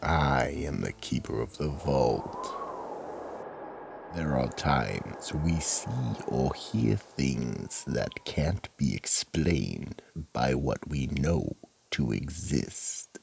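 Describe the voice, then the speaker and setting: Guy doing spooky radio vault keeper, super deep n raspy, slow and dramatic swoops. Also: animated, darkish tone, a little hiss or hum, full character voice. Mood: ominous, theatrical. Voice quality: deep, gravelly. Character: ominous, theatrical male keeper of a mystery vault. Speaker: host and narrator of a horror audio drama. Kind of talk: scripted narration in old-time radio mystery style.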